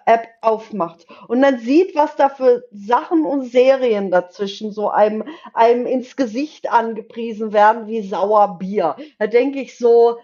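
A woman's voice: a little high, a little slow, few pauses, mellow. A woman co-hosting a casual fan podcast, effusive and emphatic in the way she talks. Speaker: podcast co-host. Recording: slightly dull, lo-fi microphone sound.

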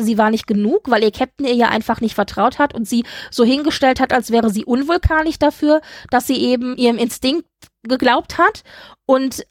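A woman's voice: high voice, no echo, clean studio sound. A woman hosting a podcast, talking through a series review in an analytical, point-by-point way. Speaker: podcast host. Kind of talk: conversational review discussion of a TV series.

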